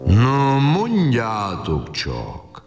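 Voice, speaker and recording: A man playing a huge, slow-witted but kindly giant in a storybook audio drama, full of exclamations and questions. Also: mid-range voice, wildly swooping pitch, roomy sound, slight background noise. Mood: blustering, curious.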